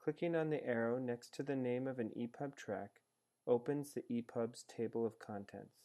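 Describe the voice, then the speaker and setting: Male narrator for a step-by-step instructional screencast; soft-edged, mellow tone, measured explanatory read. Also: mid-range voice. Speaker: narrator of a software tutorial. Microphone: screencast voice-over.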